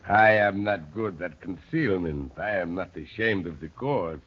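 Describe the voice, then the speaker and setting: An actor playing a blunt, defiant Polish anarchist, declaiming indignantly in 1930s radio style. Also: male voice, low voice, measured pace, husky. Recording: muffled vintage 1930s radio recording.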